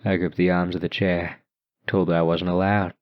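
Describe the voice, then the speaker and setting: A man with a very low voice tells a horror story in the first person, delivered as dramatic narration. The recording sounds dull, with the top end missing. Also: little pitch movement, normal speed.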